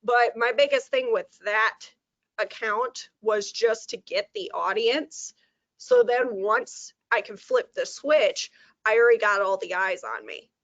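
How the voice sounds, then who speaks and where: A woman with a slightly hoarse, sore throat, chatting at length on a video call and laughing easily. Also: fairly high pitch, very animated, a little slow, a bit bright, no echo. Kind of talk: casual video call update. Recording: dull lo-fi video call audio.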